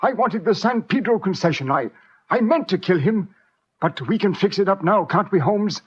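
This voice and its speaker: A muffled old radio drama recording of a groggy, frightened villain. His voice is high and raspy, and he stammers haltingly as if drugged. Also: lively intonation. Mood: panicked, pleading. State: groggy from drugs, as acted.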